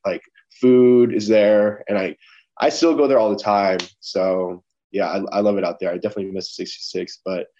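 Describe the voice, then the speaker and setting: A young man from Los Angeles, chatting casually as a podcast guest from his office, rambling with plenty of fillers. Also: on the low side. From Los Angeles, California. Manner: relaxed, rambling, many fillers like "you know". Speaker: guest, lawyer. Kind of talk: casual interview chat. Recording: dull lo-fi sound.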